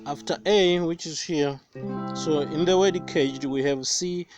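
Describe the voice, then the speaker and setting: A young Tanzanian man whose first language is Swahili, giving a slow, careful guitar lesson outdoors with many pauses and fillers. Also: fairly high pitch, lo-fi sound. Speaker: guitar teacher. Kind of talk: guitar tutorial. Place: outdoors by banana plants. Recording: faint guitar playing behind voice.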